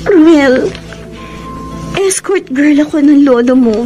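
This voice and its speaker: An actress playing an anguished, guilt-ridden young escort in a Filipino radio drama, pleading emotionally with a Filipino accent. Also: female voice, high-pitched, animated, takes it slowly. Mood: tearful, guilty. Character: anguished young escort woman confessing betrayal to the man she loves. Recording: dramatic music behind the voice.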